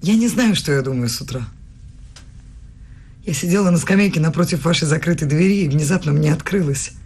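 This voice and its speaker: An actress playing a proud, wounded young student in a radio play, with a low husky voice and pointed delivery. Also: female voice, a little fast, darkish tone. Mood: guarded, hurt. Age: young adult. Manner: pointed, challenging.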